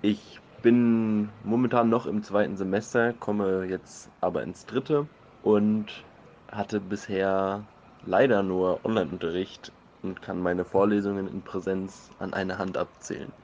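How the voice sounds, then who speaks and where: A man in his twenties introduces himself as a student in a dull, lo-fi phone voice message, speaking calmly and plainly. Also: sits low, measured pace, slight background noise. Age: 20s.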